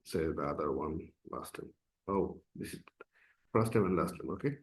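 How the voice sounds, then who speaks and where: A man's voice: very deep voice, lively intonation, normal speed. A man from Bangladesh, a non-native speaker of English whose first language is Bangla, casually explaining an application form step by step as an instructor. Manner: casual and explanatory, frequent "uh" and "okay". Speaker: course instructor. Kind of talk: screen-recorded tutorial walkthrough.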